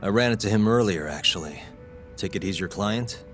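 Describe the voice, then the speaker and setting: A laid-back private detective played by a man in a video game dub, with a very deep male voice. He speaks casually and tersely, with dry understated wit, over background music. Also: fairly quick, close to an everyday voice. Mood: dry, relaxed. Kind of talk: English game dub dialogue.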